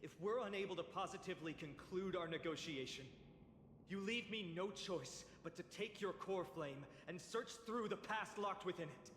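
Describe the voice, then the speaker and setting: A man's voice: high-pitched, moderate pace, background noise, lightly acted. A man playing a resolute, earnest young warrior in a video game, speaking with grave, formal diction. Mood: stern resolve. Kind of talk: dramatic video game voice acting. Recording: echoey reverberant hall, music underneath. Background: background music.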